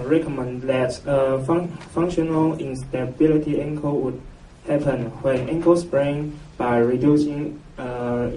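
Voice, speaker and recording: A man from Taiwan with a Taiwanese accent gives a scientific conference presentation, halting and hesitant, with very frequent filled pauses. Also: mid-range voice, warm tone. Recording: roomy, noisy, distant mic, muffled echo.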